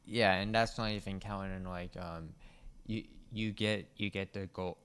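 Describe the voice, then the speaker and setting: A man's voice: low-pitched, fairly even intonation, measured pace, a bit bright, smooth. A man co-hosting a gaming show in a casual, conversational style.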